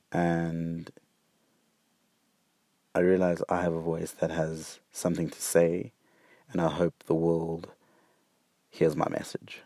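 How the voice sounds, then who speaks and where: A young man with a very low, mellow voice talks slowly and earnestly into a close, dry mic. He hesitates often and repeats himself as he gathers his thoughts. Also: no room sound. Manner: earnest and hesitant, with frequent fillers and repeated words. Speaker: podcast host. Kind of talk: reflective solo podcast monologue.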